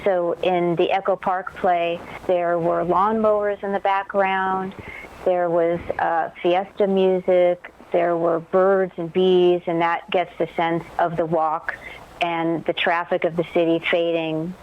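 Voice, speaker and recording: A woman's voice: lowish voice, flat delivery, slow pace, muffled recording. A woman, a playwright guest talking in a thoughtful, conversational way. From Los Angeles, USA. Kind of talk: interview conversation.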